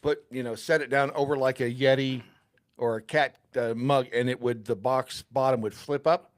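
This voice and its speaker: A man's voice: mid-range voice, steady tone, moderate pace, no echo, clean studio sound. A man in his sixties from California, co-hosting a casual chat and reminiscing. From California, United States. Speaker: co-host.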